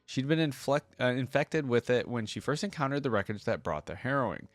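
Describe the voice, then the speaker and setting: A man's voice: medium pitch, expressive, brisk, no echo, soft background music. A man reading story text aloud and reacting casually in between, as a video creator commenting on it.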